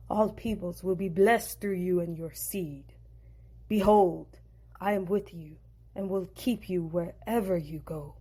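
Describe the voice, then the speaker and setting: A woman's voice: medium pitch, warm tone, close to an everyday voice. A woman voicing God, declaiming grandly and solemnly.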